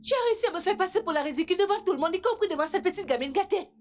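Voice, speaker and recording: A woman's voice: very high-pitched, expressive, very fast. A woman in her thirties dubbing a bitter, reproachful girlfriend who accuses her partner. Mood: accusing. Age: 30s. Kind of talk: French dub of a film.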